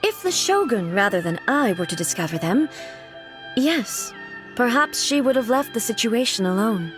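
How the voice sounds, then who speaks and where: A woman voicing an ancient, stern goddess ruler who speaks in a measured, formal and grave way. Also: on the high side, very animated, over music. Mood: solemn. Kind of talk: game dialogue.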